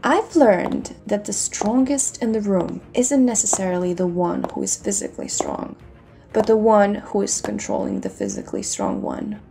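A woman's voice: medium pitch, very animated, normal speed, vocal fry, dark tone, faint music behind. A woman playing a vengeful, scheming female villain in a dramatic audio roleplay, cold, taunting and theatrical. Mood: smug, menacing.

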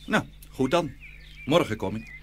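An actor plays a shrewd, bossy soldier in a fairy-tale audio play. The voice is mid-pitched, blunt and folksy, with faint background ambience. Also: male voice, animated, unhurried, a little hiss or hum, close to an everyday voice. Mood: matter-of-fact. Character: plain-spoken, shrewd, bossy runaway soldier. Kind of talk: dramatised fairy tale acting.

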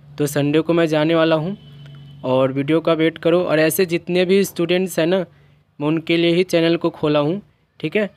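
Man with a slightly high voice, explaining. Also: steady tone, normal speed, a little mellow, clean tone. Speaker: YouTuber helping open-school students. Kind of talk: explainer vlog to viewers.

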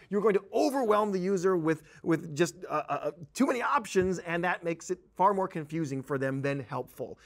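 A man in his thirties presenting a software tutorial in an animated, conversational, emphatic manner. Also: on the high side. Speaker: software trainer. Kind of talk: tutorial presentation with on-screen demonstration. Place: indoor presentation studio at a desk.

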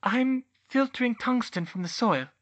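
A man's voice: high voice, sing-song, fairly quick, fairly bright tone, pitched above the performer's own voice. A man voicing a brainy young witch for a story, earnest and polite as she explains herself. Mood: nervous, earnest. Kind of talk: audiobook character voice.

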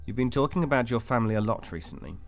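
An actor playing a cold, detached interrogator in an audio drama, formal, controlled and insistent. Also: male voice, mid-range voice, expressive, very fast, creaky. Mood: coldly firm. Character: cold, clinical male interrogator of a captive. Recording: music under the voice.

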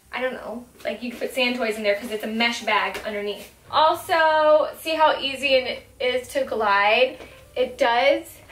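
A woman's voice: fairly high pitch, a bit bright, reverberant, a little hiss or hum, rough recording. Chatty young woman demoing a wagon. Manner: chatty, upbeat, filler-heavy. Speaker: vlogger. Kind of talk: casual product demo. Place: home room with wooden floor.

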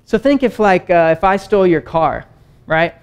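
A man's voice: sits high, normal speed, clear, resonant tone. A young man teaching a sermon on a stage, talking casually and pacing as he gestures. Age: young adult. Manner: animated, conversational, gestures widely while pacing. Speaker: preacher. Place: stage with wood-panel backdrop and screen.

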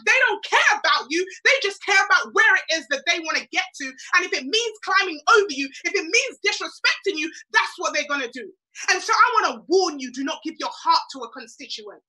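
A woman's voice: extremely high pitch, sharp tone, low-quality recording. A middle-aged Jamaican woman from Jamaica giving an emphatic, preachy motivational talk on a webcam video call, repeating lines for effect. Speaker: mentor.

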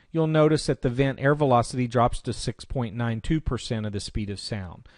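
A man presenting a software tutorial, explaining step by step in instructional screencast narration. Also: mid-range voice, no room sound, clean studio sound.

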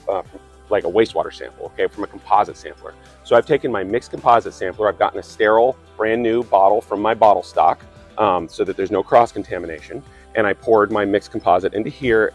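A man in his thirties, a plant operator, talking through sampling steps in a relaxed way with lots of ums and restarts. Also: on the low side. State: slightly raspy from a cold. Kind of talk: instructional demonstration. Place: outdoors by trees.

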